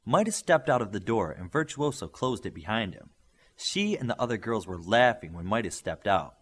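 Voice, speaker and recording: A man's voice: lowish voice, very animated, moderate pace. A man reading an audiobook, narrating a scripted story. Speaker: audiobook reader.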